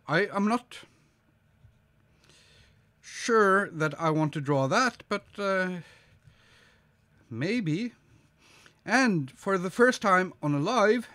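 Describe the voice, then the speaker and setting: A man in his forties with a slight cold, chatting slowly and hesitantly with a live audience while he draws at his desk, with plenty of ums and uhs. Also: sits high, fairly bright tone. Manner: slow, musing, hesitant with many fillers, reads chat aloud. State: sniffly with a cold. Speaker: artist streaming live. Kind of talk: casual live-stream chat while drawing. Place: home studio desk, indoors.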